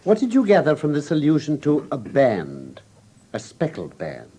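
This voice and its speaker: An actor playing a keen, courteous gentleman detective in a classic radio drama, with incisive, precise Received Pronunciation. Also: on the high side, wildly swooping pitch, normal speed, husky, full character voice. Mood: probing, courteous. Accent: English Received Pronunciation.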